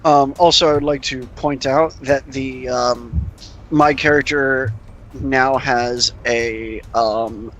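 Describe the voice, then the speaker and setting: A man's voice: medium pitch, fairly even intonation, slow pace, fairly bright tone, lo-fi sound, a little hiss or hum. A man taking part in a casual tabletop roleplaying game, speaking hesitantly and often repeating his words. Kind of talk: casual group roleplaying chat.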